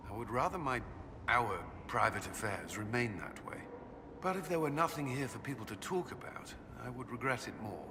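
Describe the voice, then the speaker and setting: An actor playing an earnest, soft-spoken military commander with a halting manner, in dramatic video game dialogue. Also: male voice, medium pitch, expressive, background noise, lightly acted. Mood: shy, sincere. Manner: hesitant and halting in intimate talk.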